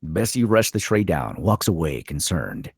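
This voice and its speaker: Man, very low husky voice, lively pitch swings, reading stage directions, dry recording. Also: moderate pace, no room sound. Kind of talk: scripted screenplay narration.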